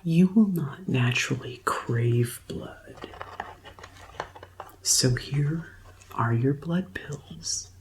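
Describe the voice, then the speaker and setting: A woman doing a soft-spoken, often whispered ASMR roleplay as a gentle, deliberate receptionist attending to a customer. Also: low-pitched, slight background noise. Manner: slow, gentle, deliberate customer-service delivery. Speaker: ASMR performer playing a vampire conversion receptionist.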